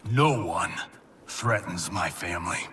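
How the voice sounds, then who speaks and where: A man's voice: low-pitched, animated, a little slow, bright, forceful tone, slight background noise, heavily acted. An actor playing a brash, wisecracking male action star fighter, cocky and sarcastic, in a video game cinematic. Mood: defiant.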